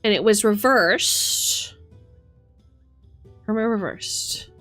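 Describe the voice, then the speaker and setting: Slow-talking woman streamer over music. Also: medium pitch, a bit bright. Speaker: streamer giving a tarot card reading. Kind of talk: casual live tarot reading, chatty. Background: background music.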